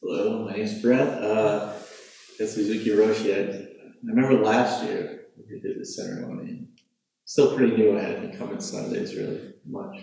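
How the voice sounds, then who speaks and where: A man sharing in a group circle, halting and earnest with many pauses and fillers. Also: lowish voice, steady tone, vocal fry, warm tone. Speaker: sangha member sharing. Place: meditation hall. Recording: very echoey hall.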